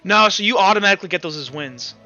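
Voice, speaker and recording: A man's voice: sits high, lively intonation, fast, sharp tone, highs cut off, soft background music. A man acting as game master of a tabletop roleplaying session, casually thinking aloud as he counts out results and narrates. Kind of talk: running a tabletop roleplaying game, improvised rules talk and narration.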